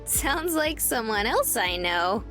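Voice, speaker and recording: A woman voicing a bubbly, affectionate young woman in a visual novel, playful, girlish and expressive. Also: on the high side, slow, soft background music, heavily acted. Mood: teasing, fond.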